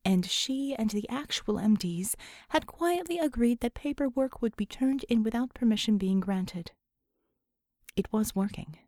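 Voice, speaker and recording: A woman's voice: a little high, expressive, measured pace, darkish tone, dry recording, studio quality. A woman narrating scripted fiction as an audiobook reader.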